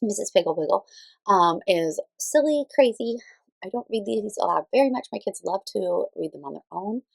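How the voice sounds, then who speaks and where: A woman's voice: mid-range voice, lively intonation, normal speed, vocal fry, no echo, low-quality recording. A woman in her thirties giving casual book recommendations as a parent, informally and a little hesitantly, thinking aloud as she explains. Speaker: parent reviewing children's read-aloud books.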